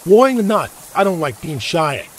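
A man's voice: fairly high pitch, very animated, moderate pace, a little hiss or hum, pitched above the performer's own voice. A man voicing a chubby, defiant boy in a fantasy audiobook, blustery, complaining and sarcastic. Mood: indignant. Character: defiant, grumbling, chubby boy with a cheeky temper.

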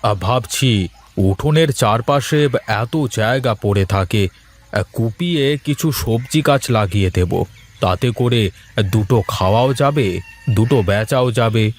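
A man plays a lazy villager from a folk-tale cartoon. He drawls very slowly at a middling pitch, grumbling and teasing with plenty of little interjections. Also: a little hiss or hum, lightly acted. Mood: irritated.

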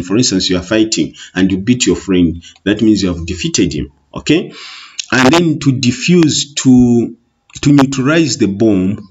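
A man's voice: deep voice, a little mellow, breathy edge. A Zambian man with a Tonga-influenced English accent, a Bemba speaker, talking through an exam paper in an online lesson, explaining patiently and repeating "okay" often. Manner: explains slowly, repeats with many okays. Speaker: teacher. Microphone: voice-over on screen recording. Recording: muffled lo-fi recording.

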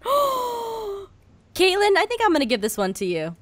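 A woman hosting a playful Minecraft roleplay in character, with an excitable, giggly manner. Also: extremely high pitch, slow pace, no echo, soft background music. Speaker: host of a Minecraft roleplay series.

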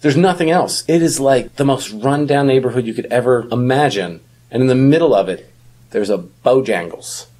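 A man's voice: mid-range voice, expressive, moderate pace, a little mellow. A man in his thirties talking casually as the co-host of a podcast. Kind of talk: casual chat.

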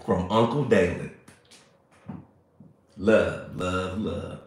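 A man, chatting playfully with viewers on a livestream, a little hoarse and congested. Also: low-pitched, drawn-out words, noisy background. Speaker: livestream host. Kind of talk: casual livestream chat with viewers. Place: small bright room indoors. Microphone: phone at arm's length. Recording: very echoey room.

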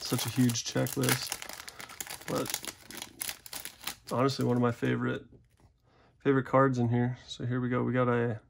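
A man's voice: medium pitch, gravelly, slight background noise. A young man hosting a casual card-opening video, chatting in a rambling, self-deprecating way at a desk. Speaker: card-opening channel host. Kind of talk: casual pack-opening commentary. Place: indoors at a desk.

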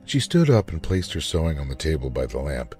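A man narrating a scripted story in a measured, dramatic manner. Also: extremely low pitch, very animated, a little mellow, faint music behind.

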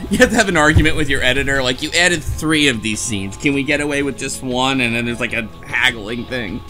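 A man's voice: medium pitch, brisk, pushed and bright, soft background music, rough recording. A man hosting a casual book club chat, recapping a story and acting out imagined dialogue as he goes. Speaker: podcast host.

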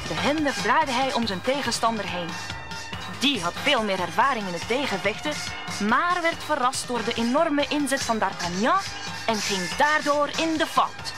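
Female narrator, scripted radio storytelling, fairly high pitch, clear musical backing. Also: lively intonation, normal speed, over music.

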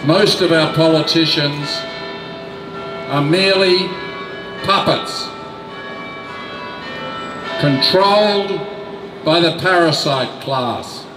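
An older man reading a prepared speech at an outdoor rally into a mic on a stand, in a slow, deliberate, emphatic way. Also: a little high, music in the background. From Australia. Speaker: rally speaker. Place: outdoor city square. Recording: echoey outdoor sound from a distant camera.

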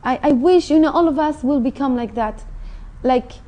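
A woman's voice: high-pitched, normal speed, mellow. A middle-aged woman from Mexico with a Mexican Spanish accent, an interview guest speaking earnestly and with feeling about her own story, recorded on a lapel mic in a TV studio. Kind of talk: personal testimony. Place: indoor TV studio set.

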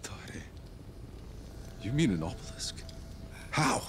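An actor playing a battle-hardened man in a video game, a blunt and determined soldier with a deliberate way of talking. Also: on the low side, sing-song. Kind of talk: video game cutscene acting. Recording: music under the voice. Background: music.

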